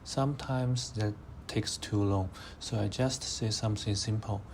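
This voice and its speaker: An eighteen-year-old man from China with a Mandarin accent, answering interview questions in a measured, hesitant way. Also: on the low side, fairly even intonation, slight background noise. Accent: Mandarin Chinese. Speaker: interviewee, high school student.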